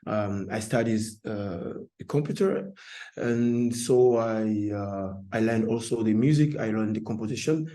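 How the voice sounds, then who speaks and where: A man with a fairly low, very soft-edged, relaxed voice and a Congolese French accent. He is an artist giving an informal online presentation. His English is imperfect and halting, as he keeps searching for words. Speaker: artist presenting their work.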